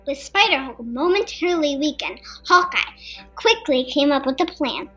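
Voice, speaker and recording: High-pitched female storybook narrator over music. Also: animated, moderate pace, a bit bright. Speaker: storybook reader for a podcast. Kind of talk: scripted children's story narration. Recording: music behind the voice. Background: background music.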